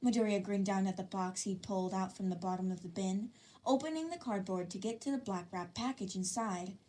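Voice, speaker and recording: A woman narrating a fan-fiction story in an expressive reading style. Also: medium pitch, fairly quick.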